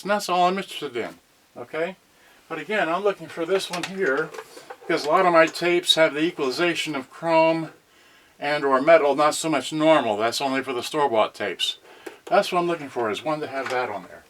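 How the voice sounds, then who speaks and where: A man's voice: a little high, a bit bright. Rambling man over noisy background. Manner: muttering asides to himself, scattered, folksy. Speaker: host of a home unboxing video about cassette radios. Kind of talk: rambling unscripted livestream chat. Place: cramped indoor space.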